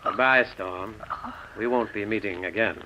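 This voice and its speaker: An actor playing a cold, smug gangster boss with blunt, matter-of-fact menace in 1940s radio noir style. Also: male voice, on the low side, a little slow, heavy background noise, natural voice. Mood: coldly menacing. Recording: muffled old radio recording.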